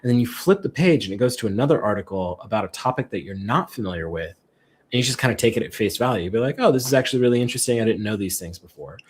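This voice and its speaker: A man with a somewhat low voice, speaking as a guest on a tech live stream. He explains casually with plenty of fillers and acts out quotes. His delivery is conversational, not scripted. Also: rapid-fire, husky. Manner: relaxed, filler-heavy explaining with acted-out quotes. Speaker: guest expert.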